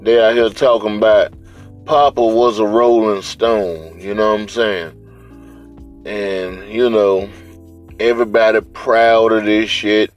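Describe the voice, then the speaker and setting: Southern us guy doing a podcast rant, takes his time, cusses a lot, music playing under him. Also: lowish voice. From US South, Atlanta area. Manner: blunt, slangy, heavy swearing. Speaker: podcast host. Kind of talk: casual unscripted monologue.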